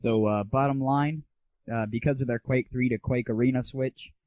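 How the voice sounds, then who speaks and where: A man's voice: medium pitch, fairly even intonation, moderate pace, dry recording. A man hosting a gaming audio show, talking casually with a blunt, irreverent, opinionated manner. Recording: muffled, phone-like lo-fi 1990s internet audio.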